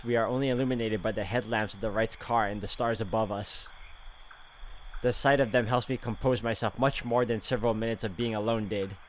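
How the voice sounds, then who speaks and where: Man dramatically reading a game story aloud. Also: mid-range voice. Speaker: game walkthrough player. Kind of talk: reading visual novel narration aloud with casual asides.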